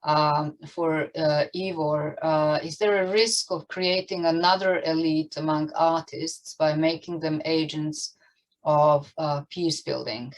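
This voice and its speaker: A woman moderating an online panel discussion, with hesitant, halting phrasing as she frames questions. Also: low-pitched, gravelly, low-quality recording.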